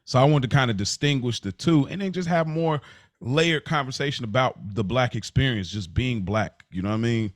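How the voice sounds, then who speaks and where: A man's voice: medium pitch, fairly quick, dry recording, studio quality. An American man co-hosting a podcast, chatting casually in an informal, slangy way. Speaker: podcast co-host. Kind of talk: casual conversation.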